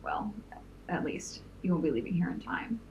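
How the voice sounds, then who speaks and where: A woman's voice: lowish voice, wildly swooping pitch, whispered, muffled recording. Commanding, coolly threatening supervillain played by a woman. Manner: authoritative, formal, deliberate. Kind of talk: audio drama villain acting. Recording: faint noise and room ambience.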